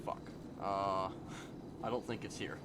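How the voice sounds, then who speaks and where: A man's voice: sits low, fairly even intonation, slow. A man chatting casually in improvised role-play, in a hesitant, rambling way. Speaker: streamer role-playing a character. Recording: loud car and ambient noise behind.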